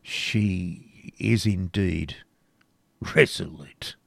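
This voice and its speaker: A proud knight templar, voiced by a man reading aloud, urging and hissing in a raised, gravelly tone. He swings between hushed pleading and fierce declarations. The storybook reading is close and dry. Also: on the high side, big pitch swings, slow, no room sound, whispered, voice pushed up, heavily acted. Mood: urgent.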